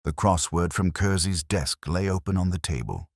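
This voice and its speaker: A man acting a melancholy detective looking back on a case in a radio play. The voice is extremely low and swings noticeably in pitch as the measured, literary narration unfolds. It is recorded close and dry, with a solemn, reflective delivery. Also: expressive, no room sound. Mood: brooding, melancholy. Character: weary, melancholy older male detective narrating his case. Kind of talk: scripted first-person dramatic narration.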